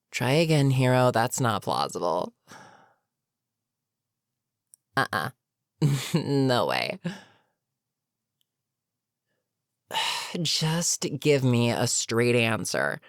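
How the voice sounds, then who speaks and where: A woman playing an older, weary supervillain in an audio roleplay, menacing yet protectively concerned, with a measured, sardonic, sighing delivery. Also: rumbling low, dry recording, studio quality, full character voice. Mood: stern, probing. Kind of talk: dramatic audio roleplay monologue.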